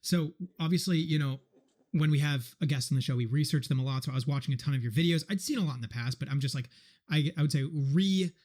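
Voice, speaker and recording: A man hosting a podcast who talks quickly in a slightly high voice. He hesitates and repeats words as he works through his thoughts. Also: a little mellow. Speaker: podcast host. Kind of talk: podcast conversation.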